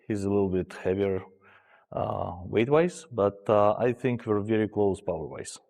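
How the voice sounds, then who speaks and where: Man in his forties, measured. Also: on the low side, creaky, a little mellow, in a large hall. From Ukraine. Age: 40s. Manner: measured, with frequent "uh" pauses. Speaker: armwrestler. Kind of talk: pre-match interview.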